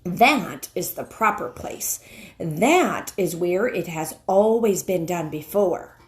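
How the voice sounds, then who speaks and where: A woman's voice: lowish voice, expressive. A woman voicing an imperious, cruel witch queen in a storybook, scornful and emphatic as she commands. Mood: brooding. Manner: emphatic, commanding, drawn-out words.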